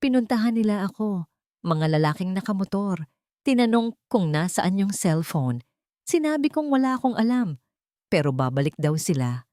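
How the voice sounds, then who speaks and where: A woman's voice: mid-range voice, very animated, moderate pace, warm tone, dry recording, clean studio sound, close to an everyday voice. A woman voicing a terrified, breathless older woman giving urgent warnings in an audio story. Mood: fearful.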